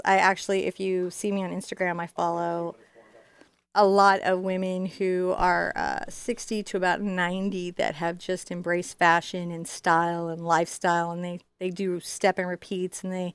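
A woman's voice: lowish voice, clear, resonant tone, dry recording. A middle-aged woman chatting informally and digressively as she teaches painting in an indoor studio. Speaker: host teaching a live painting class. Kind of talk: casual chat and painting demonstration.

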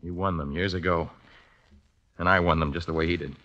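Tense, cornered young athlete, sharp voice. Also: male voice, low-pitched, fairly even intonation, highs cut off. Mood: desperate. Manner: tense, halting, stammering. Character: desperate, cornered young fencing champion, hot-tempered. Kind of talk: 1950s radio drama acting.

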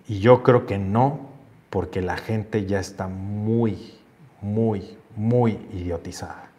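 Man, 40s, low-pitched host, earnest chat over a lapel mic, home office. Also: darkish tone. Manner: reads comments aloud then muses on them, earnest. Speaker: host reading viewer comments. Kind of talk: casual livestream chat. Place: home office with shelves, indoors.

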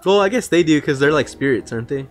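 A man's voice: fairly high pitch, expressive, quick pace. A man giving informal, slangy commentary on video game cutscenes, often asking questions. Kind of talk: casual chat commentary over game cutscenes. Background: video game music.

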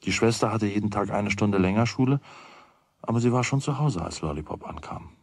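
A man with a husky voice narrating a children's radio play. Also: on the low side, a little fast, slight background noise, lightly acted. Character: storyteller of a children's tale.

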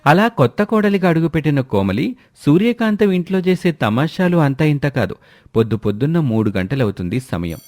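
A man's voice: fairly high pitch, big pitch swings, brisk, a little mellow. A man reading scripted narration for a family moral story.

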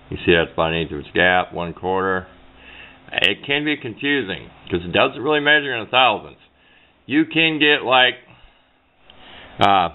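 A middle-aged man giving a casual hands-on explanation in a rambling, plain-spoken way, correcting himself as he goes. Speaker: hobbyist demonstrating a homemade radio antenna build. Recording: low-fi muffled sound with clear background noise.

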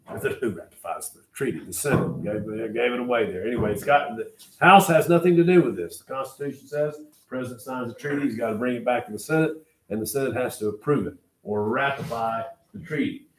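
A man's voice: medium pitch, quick pace. Older guy w oklahoma accent teaching a history class, far from the mic, echoey room, some noise. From Oklahoma, United States. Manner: emphatic, repeats key phrases, quizzes listeners. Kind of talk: classroom lecture. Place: medium-sized classroom, indoors. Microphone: far camera at back of room.

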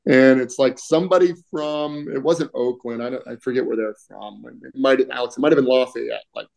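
A middle-aged man talking casually as a guest on a video-call interview, with many fillers and frequent throat-clearing. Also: mid-range voice, fairly even intonation, a little fast, darkish tone, poor audio. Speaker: union political director, guest. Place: home room, indoors.

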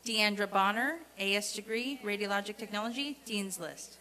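A woman reading out a formal roll of graduates at a commencement, in a deliberate, repetitive announcing cadence. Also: mid-range voice, bright, forceful tone, a little hiss or hum. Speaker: commencement name reader. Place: outdoor college commencement ceremony.

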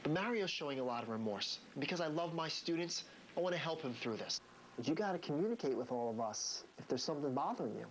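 An American man in his thirties, a high school principal, speaking in a stern, authoritative way. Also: animated, fast. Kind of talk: reality show narration and firm talk with a student. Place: school offices and locker room. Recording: noisy reality TV location sound.

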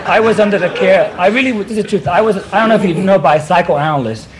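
A man's voice: high voice, fast, crowd noise. A man in his thirties with a Puerto Rican accent, a talk show guest rambling and giggling through comic stories in hip slang on a studio set. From Puerto Rico. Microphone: stand mic. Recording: old TV broadcast audio, hiss.

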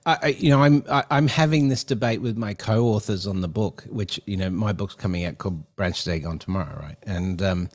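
A man's voice: on the low side, brisk, few pauses. Chatty middle-aged male podcast host. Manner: rambling, many fillers and restarts. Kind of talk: casual interview chat. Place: home studio with neon sign. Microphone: headset and desk mic on video call.

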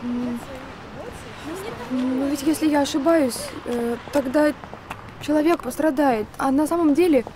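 A woman's voice: high-pitched, unhurried, pauses often, background noise. A woman playing an anxious, protective and hesitant young character in a screen drama.

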